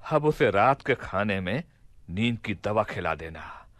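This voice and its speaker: An actor playing an amused, commanding caliph with a genial, regal manner in a radio play. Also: male voice, fairly low pitch, very animated, measured pace, fairly bright tone, no echo. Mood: pleased.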